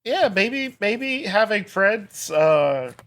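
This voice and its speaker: A woman's voice: medium pitch, very animated, drawn-out words, a bit bright. A woman co-hosting a podcast, chatting in a laid-back, hesitant, wry way. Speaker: podcast co-host. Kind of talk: casual chat.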